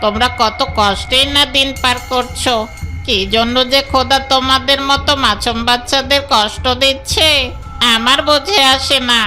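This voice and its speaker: A woman's voice: high voice, fairly even intonation, moderate pace, bright, forceful tone. A woman voicing a sly fox uncle in a children's cartoon, cajoling with false sweetness and sympathy. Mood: mock pity. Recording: music under the voice.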